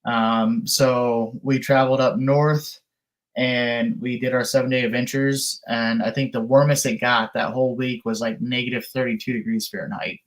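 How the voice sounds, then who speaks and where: A man's voice: medium pitch, level tone, moderate pace, poor audio. A man in his early thirties chatting casually as a live-stream guest, with a folksy, down-to-earth, colloquial way of talking. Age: 30s. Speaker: guest, outdoor camping creator. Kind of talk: casual live-stream chat about camping.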